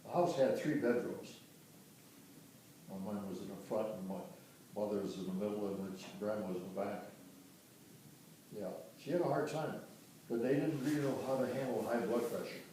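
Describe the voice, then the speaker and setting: A man's voice: lowish voice, warm tone, slight background noise. Older man reminiscing, far from the mic. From Dearborn, Michigan. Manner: halting, restarts and corrects himself on dates. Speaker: interviewee recounting family history. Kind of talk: casual family reminiscence. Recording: roomy, distant home recording.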